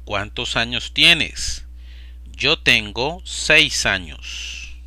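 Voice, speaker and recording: A man slowly and deliberately repeating short phrases for language learners. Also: medium pitch, lively intonation, pushed and bright, no room sound. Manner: slow, deliberate, evenly paced. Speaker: Spanish teacher. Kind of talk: slow repetition drill for learners.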